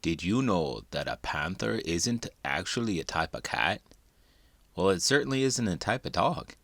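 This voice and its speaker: A man reading a children's picture book aloud in an expressive, dramatic way, as a host would for young listeners. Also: low voice, moderate pace, slight background noise. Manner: expressive, dramatic delivery with big emotions. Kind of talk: animated read-aloud narration for children.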